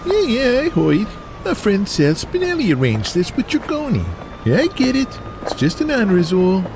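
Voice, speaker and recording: An actor playing a skinny, cocky, hot-headed Italian-American mob collector in an audio drama. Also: male voice, sits high, wildly swooping pitch, normal speed, background noise, exaggerated voice. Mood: eager, cocky. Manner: wise-guy swagger, profane.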